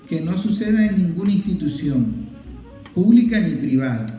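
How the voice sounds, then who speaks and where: High-pitched, very mellow man in echoey room. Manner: emphatic, repetitive, building lists. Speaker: political commentator, host. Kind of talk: impassioned political monologue to viewers. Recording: roomy echo, guitar music behind.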